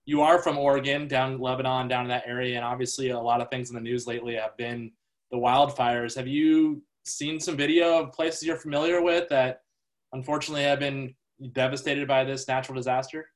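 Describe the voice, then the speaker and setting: A man's voice: mid-range voice, level tone, a little fast, poor audio. A man hosting a podcast interview, friendly and loose, with frequent fillers. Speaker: podcast host.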